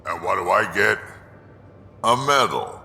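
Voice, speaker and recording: Grizzled alien veteran for a video game: rock-bottom gravel voice, blunt, dry-humored. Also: male voice, low-pitched, expressive, measured pace, pushed and bright, long reverb tail, exaggerated voice. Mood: wry, grumbling. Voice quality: gravelly, growling. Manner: blunt, sardonic. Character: gruff, grizzled old male alien warrior, blunt and wry. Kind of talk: video game character acting.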